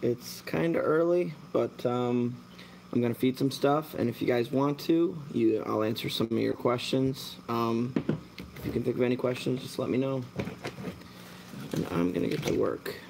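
Brief: relaxed thirties guy, gravelly low-key voice, rambling livestream chat with a faint hum of room noise. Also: moderate pace. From born in Germany, raised American. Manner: rambling, relaxed, talks while working, many fillers. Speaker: mantis breeder and shop owner. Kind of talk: casual live stream Q&A. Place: dim indoor shop at night.

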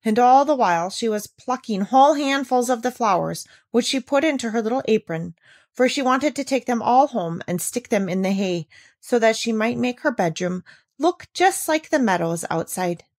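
A woman reading a classic children's novel aloud, with measured, expressive delivery. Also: fairly high pitch, dry recording, studio quality.

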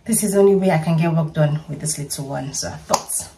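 A woman's voice: deep voice, fast, far from the mic, background noise. Playful woman in her thirties. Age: 30s. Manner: playful, chatty, laughs often. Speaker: vlogger. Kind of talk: casual get-ready-with-me vlog. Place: small bedroom at home.

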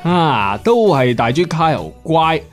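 A man's voice: a little high, big pitch swings, slow, faint music behind. A man with a Hong Kong Cantonese accent playing a playful, talkative pig father in a family radio drama. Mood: lively.

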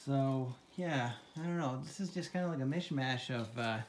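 A man in his thirties hosting a casual vlog tour, talking in a halting, playfully dramatic way into a handheld phone. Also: mid-range voice, noisy background. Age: 30s. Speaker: vlog host. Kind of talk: casual first-person video tour. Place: at home indoors, then outdoors and inside an old barn. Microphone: handheld phone at arm's length.

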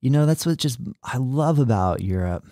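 A man's voice: medium pitch, animated, normal speed, warm tone, dry recording, studio quality. An American man hosting a podcast interview, talking casually and heaping enthusiastic praise on his guest. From United States. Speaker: podcast host.

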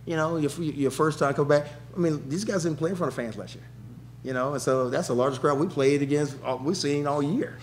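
A middle-aged man answering questions as a head coach at a press conference, with quick, emphatic answers and lots of restarts, speaking into a table mic in a press room. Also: fairly high pitch, a little hiss or hum. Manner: rapid, repetitive restarts, emphatic.

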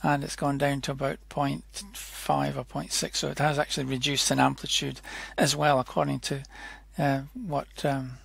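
Husky, creaky man giving a technical demo. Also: mid-range voice, flat delivery, a bit bright, no echo. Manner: hesitant, halting explanation with self-corrections. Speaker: software demonstrator. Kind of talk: informal technical tutorial narration.

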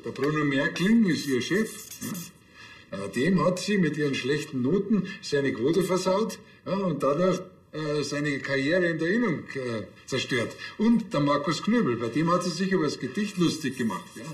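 A man's voice: on the high side, lively intonation, normal speed, reverberant, close to an everyday voice. An actor playing a grumbling, sardonic policeman in a TV crime comedy, with a Bavarian accent. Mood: explaining. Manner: dry, sardonic, colloquial.

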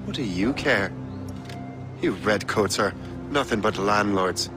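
An actor playing a blunt, determined young sailor hero in a video game. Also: male voice, fairly low pitch, measured pace, lightly acted. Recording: game audio with music behind.

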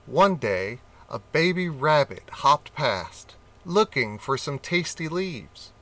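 Man reading a children's storybook, lively pitch, unhurried, bright tone. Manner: slow, expressive reading with character voices. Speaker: narrator reading a children's picture book. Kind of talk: storybook read-aloud.

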